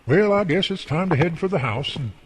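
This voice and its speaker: An actor playing a folksy, devout boss and mentor in an amateur radio drama, explaining things earnestly and at length. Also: male voice, on the high side, animated, fairly quick, noisy background, close to an everyday voice. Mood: warm, appreciative. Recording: long hall reverb.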